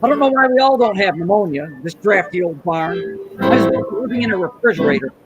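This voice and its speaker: A man's voice: high-pitched, lively intonation, brisk, dull audio, music in the background. An actor playing a frantic, bitter family man in a radio play, volatile and agitated. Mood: irritable. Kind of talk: old-fashioned radio play acting.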